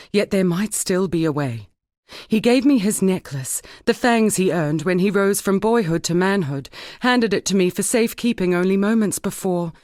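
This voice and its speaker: A woman playing an earnest, formal woman scholar in a dark fantasy video game, speaking in a measured way. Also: fairly low pitch, dry recording, clean studio sound, close to an everyday voice. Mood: sorrowful, pleading. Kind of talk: scripted dramatic game dialogue.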